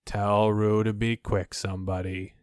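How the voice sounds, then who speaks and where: A man voicing a gloomy, self-pitying old donkey who grumbles slowly and low. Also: little pitch movement, a little mellow, clean tone, no echo, clean studio sound, natural voice.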